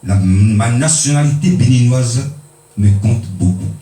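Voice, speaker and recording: A man from Benin preaching a sermon, emphatic, with pitch swinging high and low for stress. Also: unhurried, dark tone. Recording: echoey room, mic at a distance.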